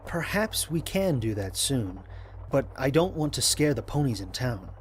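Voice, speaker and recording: A man playing a gentle, patient, thoughtful astronaut explorer in an audio drama, talking and narrating mission logs. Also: medium pitch, sing-song, normal speed, a little hiss or hum, lightly acted.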